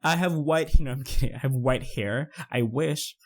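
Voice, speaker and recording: A man's voice: medium pitch, normal speed. A man in his twenties from the Philippines, chatting casually over a build video with lots of fillers. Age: 20s. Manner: chatty, rambling, many fillers. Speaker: YouTuber narrating a build.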